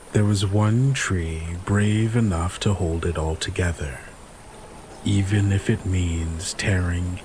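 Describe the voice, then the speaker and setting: A man's voice: lowish voice, darkish tone, a little hiss or hum. A man narrating and hosting an actual-play fiction podcast as its game master. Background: music under the voice.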